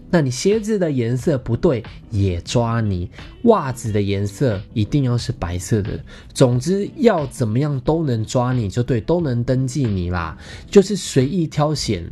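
Male podcast host, thirties, Taiwanese Mandarin accent, mid-pitched and very animated, playful casual storyteller, light music underneath. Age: 30s. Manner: animated, playful, self-mocking humour.

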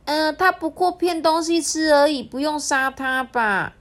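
A woman doing a shrill little fox fairy. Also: measured pace. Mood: pleading. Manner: high, loud and pleading. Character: young fox fairy, stubborn and kind-hearted.

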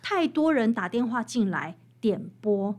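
This woman has a fairly high voice and a Taiwanese Mandarin accent. She talks as an interview guest, explaining history conversationally and stressing key words as she tells her stories in an animated way. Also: measured pace. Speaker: author guest.

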